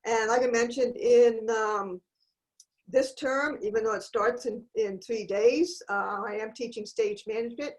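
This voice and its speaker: A woman theatre teacher introducing her courses on a video call, halting with many fillers. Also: on the high side, rough recording. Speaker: theatre faculty member.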